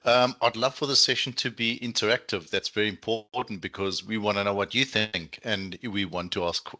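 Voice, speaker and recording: A man with a South African accent speaks in a low, bright voice. He presents to an online audience informally, with an enthusiastic and chatty manner. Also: fairly even intonation, moderate pace. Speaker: student recruitment manager presenting.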